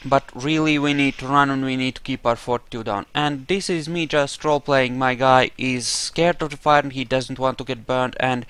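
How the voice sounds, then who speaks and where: A man's voice: medium pitch, steady tone, moderate pace, few pauses, fairly bright tone, slight background noise. A man giving casual commentary while he plays through a video game in a roleplaying style. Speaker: gamer doing a roleplay playthrough.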